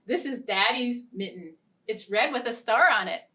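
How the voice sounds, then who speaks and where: A woman hosting a children's storytime, reading and talking to small children in a playful, expressive way. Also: a little high, normal speed. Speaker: children's librarian, storytime host. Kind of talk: children's storytime with read-aloud story and songs.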